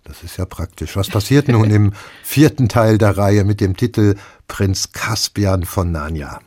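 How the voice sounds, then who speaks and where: A man's voice: fairly low pitch, measured pace, a little mellow, dry recording. A man hosting a radio book programme, presenting reviews and putting questions to a critic. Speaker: radio host.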